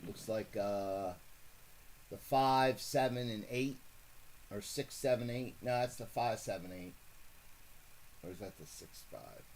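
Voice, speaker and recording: Low-voiced man calling races live. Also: a little slow, long pauses, slight background noise. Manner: rambling, self-correcting, excited at finishes. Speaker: host calling horse races for a fantasy contest. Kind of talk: casual live-stream race commentary.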